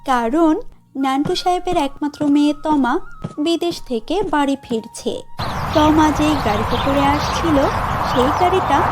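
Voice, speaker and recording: A woman's voice: sits high, moderate pace, over music. A woman narrating a scripted children's story.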